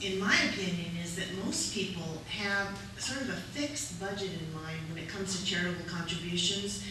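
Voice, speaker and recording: A woman speaking as a city council candidate at a public forum, making an earnest, measured argument. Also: mid-range voice. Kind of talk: forum answer to a question. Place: community center meeting room. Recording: echoey room from far off, faint noise.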